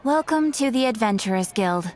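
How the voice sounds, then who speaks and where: A woman's voice: a little high, expressive, normal speed, darkish tone, a little hiss or hum, natural voice. A woman playing a polite, composed guild receptionist in an anime game, speaking formally and courteously. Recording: music behind.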